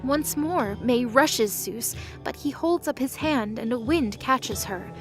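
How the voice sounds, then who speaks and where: A woman's voice: a little high, animated, slow pace, music in the background. A woman reading dramatic scripted narration for a fantasy audio drama.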